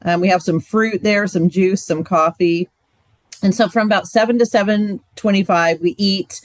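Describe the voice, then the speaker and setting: A woman speaking in a dry, close-sounding space at a middle pitch. She talks casually and chattily, peppering her answers with "like" and "um". She sounds like a ministry co-leader sharing practical details. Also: steady tone, normal speed, no room sound. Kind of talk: training session talk.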